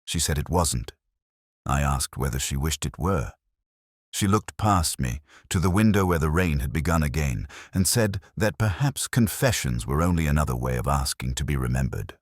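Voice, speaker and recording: A man plays a weary, cynical police inspector telling his own story in a detective audio drama. The voice is extremely low and swings widely in pitch as he broods over each phrase with measured care. The sound is dry and close, with hardly any room to it. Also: animated, no echo. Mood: brooding, reflective. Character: weary, cynical male English police inspector, reflective and literary. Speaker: narrator. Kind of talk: scripted first-person detective drama narration.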